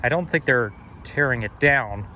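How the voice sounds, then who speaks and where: A young man from Toronto, slightly hoarse with a frog in his throat, rambling and correcting himself as he narrates a street walk outdoors. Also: mid-range voice, normal speed, pushed and bright, low-quality recording. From Toronto, Canada. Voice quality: strong, slightly growly. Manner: rambling, self-correcting, musing aloud. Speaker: walking-tour vlogger. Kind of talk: casual walking narration. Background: faint passing traffic.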